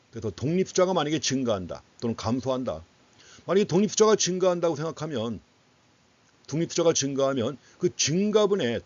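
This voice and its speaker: A man's voice: mid-range voice, darkish tone. A man giving an explanatory economics lecture in a didactic way, putting questions to students and repeating key phrases for emphasis. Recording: dull, lo-fi lecture audio.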